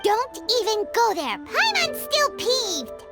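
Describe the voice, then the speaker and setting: Teeny excitable fairy game mascot, squeaky high, wildly animated. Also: female voice, slow pace, over loud music, heavily acted. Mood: peeved. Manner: speaks in third person, exclamatory. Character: tiny squeaky childlike fairy companion, excitable and peevish. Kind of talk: video game voice acting.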